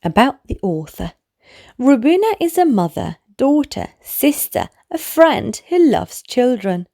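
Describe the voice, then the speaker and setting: A woman narrating a children's bedtime story in an expressive way, speaking to small children. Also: high voice. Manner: animated, slow, clearly addressed to small children. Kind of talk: expressive read-aloud bedtime story for toddlers.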